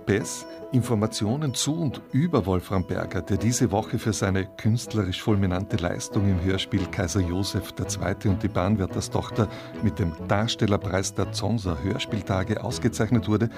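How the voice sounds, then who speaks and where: Low-voiced male radio presenter over guitar. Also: normal speed, few pauses. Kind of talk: scripted radio back-announcement. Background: plucked guitar music.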